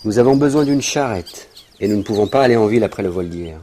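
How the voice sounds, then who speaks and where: An actor playing a menacing, mocking brigand leader who bosses and sneers, in a French-dubbed cartoon. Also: male voice, on the low side, animated, fast, mellow, close to an everyday voice. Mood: commanding. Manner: bossy, threatening, sneering. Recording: faint noise, birdsong behind.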